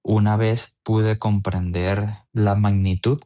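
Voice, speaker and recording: Young man, Venezuelan accent, low-pitched voice, athlete interviewee, personal storytelling. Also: level tone, takes it slowly, mellow. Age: 20s.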